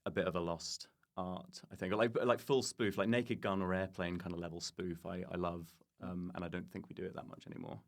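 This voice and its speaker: A man's voice: low voice, steady tone, fairly quick, hardly any pauses. A British man chatting casually as a comedy podcast guest, in a rambling, enthusiastic way.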